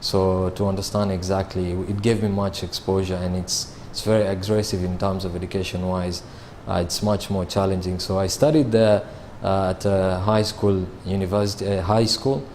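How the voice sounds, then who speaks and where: A man, answering interview questions about his sales job in a measured way, with frequent fillers and restarts. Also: deep voice, steady tone, darkish tone, slight background noise. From Kenya. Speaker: sales manager.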